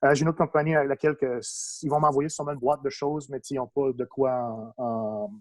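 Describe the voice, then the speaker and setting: A man's voice: medium pitch, a little fast, rough recording. A young adult man with a Québécois accent, native English speaker, chatting casually as a podcast guest, with lots of fillers and restarts. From Quebec. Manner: relaxed, many fillers and restarts. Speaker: guest, toy photographer. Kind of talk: casual conversation.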